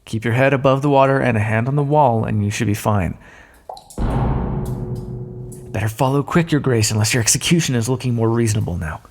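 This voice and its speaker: Man acting a wry, gravelly roguish thief. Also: medium pitch, quick pace, soft background music, close to an everyday voice. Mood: wry, urgent. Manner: sardonic, teasing, conversational. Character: roguish male thief escorting a deposed queen, wry but decent. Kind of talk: audio roleplay acting, addressed to the listener.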